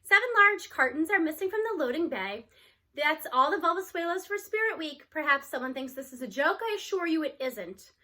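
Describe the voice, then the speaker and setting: Woman putting on shrill, stressed school principal. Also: animated, a little fast, sharp tone. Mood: stern, stressed. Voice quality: slightly shrill. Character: stern, stressed female school principal making announcement.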